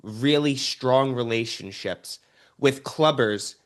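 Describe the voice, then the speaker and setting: A man's voice: medium pitch, slow. Sex: man. Role: admiring podcast host. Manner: admiring, enthusiastic questioner. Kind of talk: casual interview chat.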